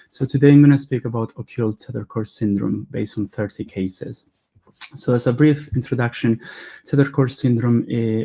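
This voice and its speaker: A man's voice: on the low side, dark tone. A man, presenting research in a webinar lecture over a video call, earnest and explanatory, with frequent hesitations and self-corrections. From Spain. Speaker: veterinary neurology resident presenting research.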